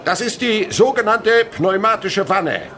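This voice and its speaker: Radio play part: man as pompous, excitable male science teacher, raised high voice, loud declamatory delivery. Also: measured pace, slight background noise, heavily acted. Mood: pompous, commanding. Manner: declamatory, emphatic. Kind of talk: German radio play acting.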